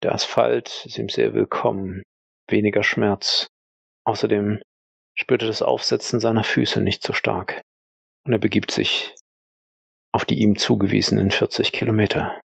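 A man's voice: fairly low pitch, little pitch movement, moderate pace, gravelly, breathy edge, no room sound. A man improvising third-person story narration as a player in a role-play audio drama.

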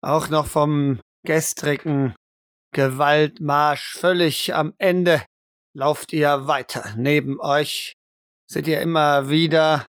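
A man narrating dramatically as game master of an improvised role-play audio drama, with deliberate, suspenseful phrasing. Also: a little high, steady tone, clean tone, no echo, clean studio sound.

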